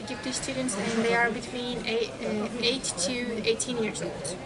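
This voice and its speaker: A woman describes lessons she observed, her voice a touch high. She pauses often and searches for words. A dense wall of crowd chatter surrounds her. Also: low-quality recording. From Turkey. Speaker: English teacher. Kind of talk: interview answers. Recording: very loud crowd chatter behind.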